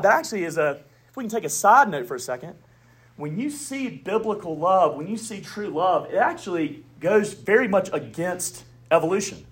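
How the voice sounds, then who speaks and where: A man preaching a sermon in a Southern US accent, with emphatic declarations, quiet asides and folksy humor. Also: high-pitched, breathy edge. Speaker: pastor.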